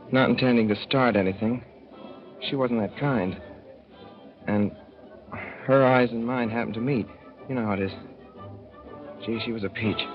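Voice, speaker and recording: A man's voice: mid-range voice, natural voice. A man playing a folksy young racegoer. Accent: Midwestern American. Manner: folksy, rambling, boyish. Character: naive young male racegoer telling his story. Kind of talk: 1930s radio drama narration. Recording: dull 1930s radio broadcast with music.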